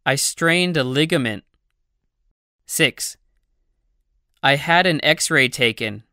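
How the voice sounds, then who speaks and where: This voice reads numbered study phrases at a very slow, deliberate pace, leaving clear space between each line. The tone is bright and crisp. It is recorded close and dry, with no room sound. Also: mid-range voice, dry recording. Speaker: narrator of a language-learning audiobook. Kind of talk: slow scripted phrase reading for learners.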